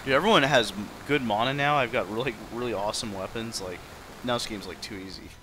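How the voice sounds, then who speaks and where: A man's voice: medium pitch, quick pace, poor audio. A man chatting casually and slangily as he streams a video game, easygoing in manner. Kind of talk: casual gameplay stream chat. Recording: loud game music behind voice. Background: video game music.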